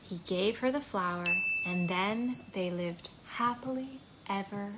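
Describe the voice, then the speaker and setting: A woman in her thirties hosting a children's storytime, speaking in an animated, encouraging way to young listeners in a small home room. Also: mid-range voice, measured pace, very noisy. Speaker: children's library storytime host. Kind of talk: children's storytime with action rhymes and reading.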